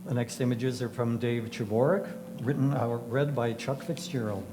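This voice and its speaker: A man's voice: medium pitch, steady tone, normal speed, music in the background, low-quality recording. A man makes brief host announcements introducing who is next at a club meeting.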